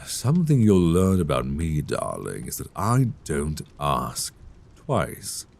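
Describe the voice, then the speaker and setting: Casting: possessive, condescending vampire master for intimate audio roleplay; extremely low voice, very slow, savoring delivery. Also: male voice, huge pitch swings, a little mellow, full character voice. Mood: smug, commanding. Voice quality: gravelly, very deep. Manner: silky, commanding, drawn-out, mock-tender. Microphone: close binaural microphone.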